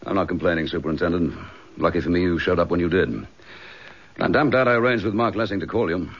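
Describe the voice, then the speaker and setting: An actor playing a determined chief inspector in a radio drama, with a clipped, forthright English delivery. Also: male voice, deep voice, fast talker, husky. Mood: dry. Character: determined, dogged police chief inspector, recently suspended. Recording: dull, lo-fi radio drama recording.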